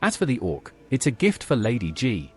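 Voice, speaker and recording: A man's voice: mid-range voice, wildly swooping pitch, normal speed, a little mellow, soft background music. A man's computer-generated voice speaking plain, matter-of-fact game dialogue. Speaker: player character.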